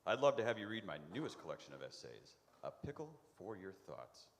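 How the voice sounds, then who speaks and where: A man's voice: fairly low pitch, very animated, brisk, long reverb tail, a little hiss or hum. A man playing a self-important, fawning literary pickling expert in a comic radio play.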